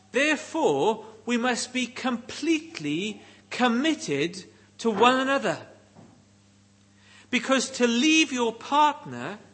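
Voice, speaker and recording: Welsh guy giving a sermon, kinda raspy, really slow and emphatic w pauses. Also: sits high, fairly bright tone. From Wales. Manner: emphatic, deliberate phrasing with pauses. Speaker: preacher.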